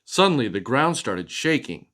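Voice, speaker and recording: Very animated man reading to children. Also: mid-range voice, moderate pace. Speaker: storyteller, father. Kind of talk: expressive children's picture-book read-aloud with chat.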